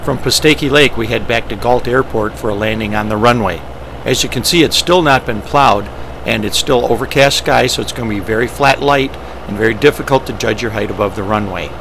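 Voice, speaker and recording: Male pilot-presenter, medium pitch, creaky voice, plain instructional delivery in short phrases. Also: a little fast. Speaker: pilot presenting ski flying. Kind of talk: instructional narration, explaining step by step. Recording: steady engine and vehicle noise.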